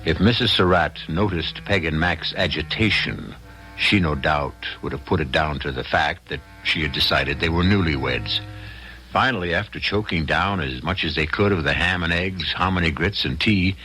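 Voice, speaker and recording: A man hosting and narrating an old-time radio drama in a measured, solemn, rhetorical way. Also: extremely low pitch, pushed and bright, low-quality recording. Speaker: host and narrator. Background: music.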